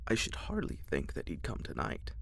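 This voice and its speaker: A man's voice: moderate pace, natural voice. A man voicing a fretful, grumbling old father in a whispered storybook reading. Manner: querulous outbursts.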